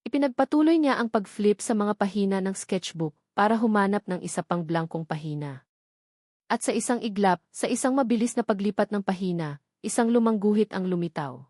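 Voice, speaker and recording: Lady narrator reading a dramatic story, mid-pitched voice, really dry sound. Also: lively intonation, a little fast, dark tone, no room sound.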